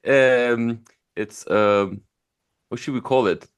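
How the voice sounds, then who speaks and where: A man co-hosting a podcast, talking in a wry, relaxed way and laughing easily. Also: mid-range voice, slow pace, clean tone. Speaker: podcast co-host. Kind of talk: casual podcast chat.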